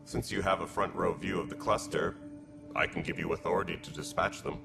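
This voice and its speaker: An actor playing a gruff, dutiful alien militia commander in a video game, speaking in a measured, matter-of-fact military way. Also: male voice, deep voice, steady tone, loud music behind the voice. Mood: businesslike. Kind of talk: video game cutscene acting. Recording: loud background noise.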